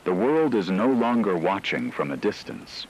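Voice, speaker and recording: A man playing a grave radio newsreader, reading a scripted breaking war bulletin in a solemn, measured, ominous way. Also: deep voice, sing-song, heavily acted, poor audio. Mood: grave, urgent.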